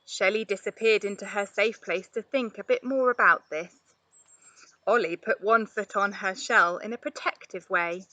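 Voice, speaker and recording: A woman reading a children's story aloud in an expressive narrating style. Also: medium pitch, moderate pace, no echo, rough recording. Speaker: storybook reader, play therapist.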